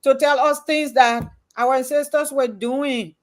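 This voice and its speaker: A woman hosting an interview, talking earnestly and haltingly with many fillers. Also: high voice, fairly even intonation. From Liberia. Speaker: host. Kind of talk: casual interview chat.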